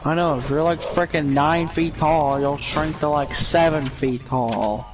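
Young male gaming buddy, slow drawl, a bit high, faint background noise. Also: steady tone. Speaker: gaming friend. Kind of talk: casual chat.

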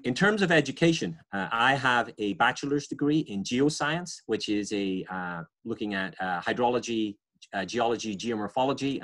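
A man in his forties, an environmental scientist, explaining his career to students over a video call and often pausing with uhs and restarts. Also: on the low side, fairly bright tone. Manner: hesitant, many uhs and restarts. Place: home or office on video call, virtual background. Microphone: webcam or laptop mic on video call.

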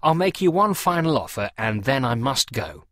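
A man's voice: medium pitch, sing-song, normal speed, a bit bright, no echo. Guy doing a fussy polite sly parson type for a storybook, voice swoops up and down a lot, dry sound. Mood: apologetic haggling. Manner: over-polite, apologetic, feigning reluctance. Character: sly middle-aged male antiques dealer posing as genteel, fussy parson. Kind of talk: storybook character dialogue.

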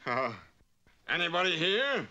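A man voicing a grumpy, blustering father bear in a vintage fairy tale cartoon, gruff and exclaiming. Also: sits high, sing-song, a little slow, bright, forceful tone, heavily acted. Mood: indignant. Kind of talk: vintage American cartoon acting. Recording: dull, muffled old film soundtrack.

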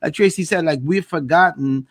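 A man's voice: fairly high pitch, moderate pace, dry recording, clean studio sound. A man from Queens, a guest on a live video chat, talking emphatically with many "like"s. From Queens, New York. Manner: emphatic, lots of "like", drawn out.

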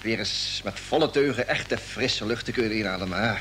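A man's voice: lowish voice, brisk, close to an everyday voice. Actor as cheerful crewman in noisy old radio play. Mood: eager. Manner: chatty, jocular. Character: cheerful, eager, joking male spaceship crewman. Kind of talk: old Dutch radio drama acting.

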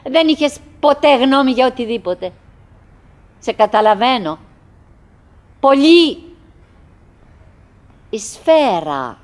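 Wistful middle-aged lady in a radio drama, highish voice, broken theatrical phrases, brave chatter to her husband. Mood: insistent, calling. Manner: halting, fragmented, dramatic, swinging between murmurs and calls. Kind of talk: theatrical Greek radio play monologue.

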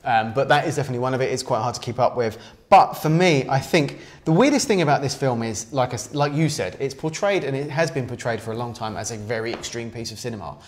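A man's voice: mid-range voice, fast talker. A man in his thirties co-hosting a casual film discussion, rambling with many restarts. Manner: rambling, restarts often, gestures while talking. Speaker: co-host. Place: small studio with poster wall.

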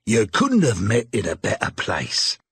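A man voicing a sly old pawnbroker with a low, drawling, wheedling manner. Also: wildly swooping pitch, a little slow, a bit bright, breathy edge, no echo, clean studio sound, exaggerated voice.

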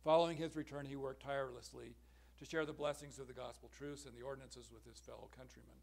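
A middle-aged man giving an academic conference talk from a podium in a large lecture hall, measured and earnest, clearing his throat now and then. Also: mid-range voice, steady tone, a little mellow. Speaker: symposium speaker. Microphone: podium microphone, far camera.

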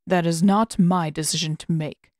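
Woman voicing a low, stern old master. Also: fairly even intonation, a little mellow, no room sound, studio quality, close to an everyday voice. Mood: firm, resigned. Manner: formal, measured, unyielding. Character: stern, reserved older male waterbending master.

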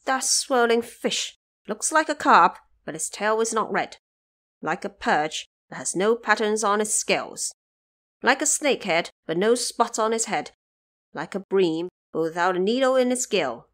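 A woman voicing a stern, commanding male warrior god in an audio drama, speaking deliberately. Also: medium pitch, expressive, fairly bright tone. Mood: musing. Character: stern commanding male divine warrior lord pursuing a foe.

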